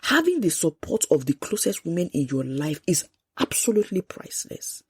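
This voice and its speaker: Nigerian lady podcaster, stuffy nose, kinda husky, dead dry sound. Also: on the low side, moderate pace, no room sound. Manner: animated, emphatic, quotes people in lively asides. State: congested, sore throat. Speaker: podcast host. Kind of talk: solo podcast monologue.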